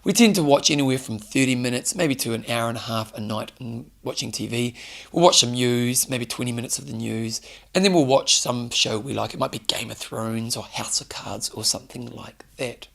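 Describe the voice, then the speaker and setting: Gravelly, hoarse New man hosting a fitness talk, earnest and direct with the listener. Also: mid-range voice, moderate pace, a bit bright. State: hoarse, losing his voice. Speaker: fitness show host. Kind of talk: solo motivational talk to camera.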